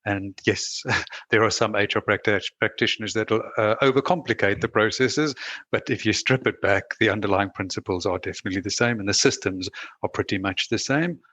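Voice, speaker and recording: A man's voice: on the low side, fairly quick, pushed and bright, dry recording. A man with a South African accent, a guest talking things through casually, with plenty of "you know" and restarts. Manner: thoughtful, many fillers and restarts. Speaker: guest, managing director. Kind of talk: casual conversational interview.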